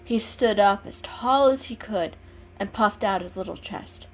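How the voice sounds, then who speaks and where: A woman's voice: medium pitch, a little slow, rough recording. A woman reading a children's story aloud as dramatic narration, in a storyteller's style.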